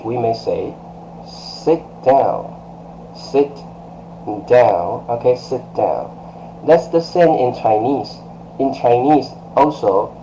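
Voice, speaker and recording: Slow, patient man teaching a language lesson. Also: medium pitch, a little mellow, slight background noise, low-quality recording. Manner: patient, repetitive, fillers like okay and uh. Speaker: Chinese teacher. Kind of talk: live-streamed language lesson. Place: small room by a curtained window. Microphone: webcam close-up.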